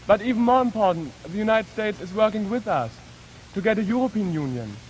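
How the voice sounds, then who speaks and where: A man with a German accent and a notably high voice speaks in a formal debate. His delivery is deliberate and polite. The archive recording from the 1950s is a little noisy. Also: expressive. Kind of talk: formal panel discussion.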